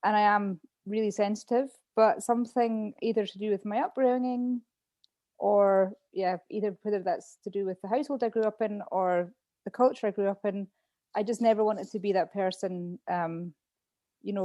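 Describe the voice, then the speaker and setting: A woman with a Scottish accent, a podcast guest talking openly about her life and pausing often with "um" as she thinks. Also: medium pitch, mellow, no echo, muffled recording. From Scotland. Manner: open, chatty, many "um"s while thinking. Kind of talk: casual interview chat.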